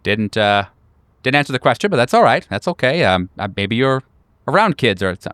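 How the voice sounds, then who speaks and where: A man's voice: mid-range voice, very animated, fairly quick, a bit bright, clean tone, no echo, studio quality, voice pushed up. A man voicing an eager, flighty bachelor writer who hesitates and repeats himself as he fusses over words. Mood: nervous, eager.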